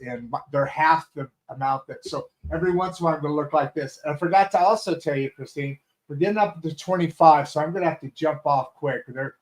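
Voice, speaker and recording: A man's voice: fairly high pitch, low-quality recording. A man hosting a talk show, chatting casually and rambling through his guest's introduction with many restarts and fillers. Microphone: video call setup from a home studio.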